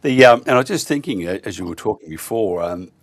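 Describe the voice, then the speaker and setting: A man hosts a talk radio show and chats with his guest. His voice is low. He drifts into teasing jokes. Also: lively intonation, fast talker. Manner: joking, teasing. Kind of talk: radio interview.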